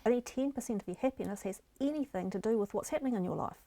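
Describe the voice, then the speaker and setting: A woman's voice: on the high side, rapid-fire, warm tone, no room sound. A middle-aged woman with a New Zealand accent, a guest explaining happiness and resilience in an earnest, encouraging way. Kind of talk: interview conversation.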